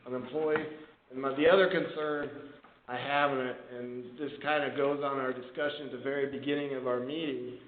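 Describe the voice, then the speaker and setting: A man's voice: mid-range voice, phone-quality sound. A man, a town official, talking informally in a council meeting over a conference call. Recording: echoey, noisy phone conference line.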